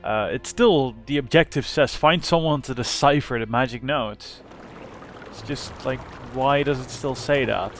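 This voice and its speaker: A young man with a slightly rough voice giving rambling, casual commentary while playing a game. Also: mid-range voice, unhurried, fairly bright tone, soft background music. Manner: rambling, self-correcting. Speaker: let's play host.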